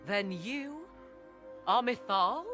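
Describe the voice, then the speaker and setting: A woman's voice: medium pitch, expressive, pushed and bright, soft background music. A woman playing a proud, learned witch in a video game, speaking in formal, archaic, mysterious phrases. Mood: shocked, angry. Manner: archaic, measured, theatrical phrasing. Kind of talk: video game cutscene acting.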